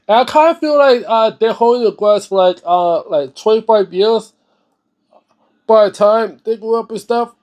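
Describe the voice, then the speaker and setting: High-pitched male vlogger, halting and repetitive, earnest casual commentary with slangy emphasis. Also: darkish tone. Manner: halting, repetitive, searching for words. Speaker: host of a book reading and discussion series. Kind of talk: unscripted casual video commentary.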